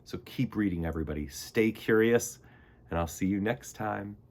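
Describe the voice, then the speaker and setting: A man hosting a children's storytime, reading and chatting to young listeners in a folksy, emphatic, expressive way. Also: fairly low pitch, moderate pace. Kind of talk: animated storybook narration and friendly address to children.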